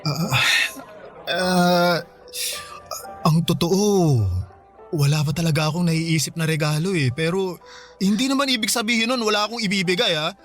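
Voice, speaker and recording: A man's voice: high-pitched, moderate pace, fairly bright tone. A man playing a patient, put-upon young boyfriend and son in a Filipino radio drama, earnest and hesitant. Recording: music behind the voice.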